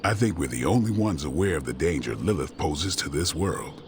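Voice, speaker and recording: An actor playing a gruff, stoic vampire hunter in a video game, terse and deadpan, with dry loyalty. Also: male voice, moderate pace. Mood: dry, blunt. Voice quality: very deep. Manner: terse, deadpan, dryly blunt. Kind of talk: video game cutscene dialogue. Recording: music under the dialogue. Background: music.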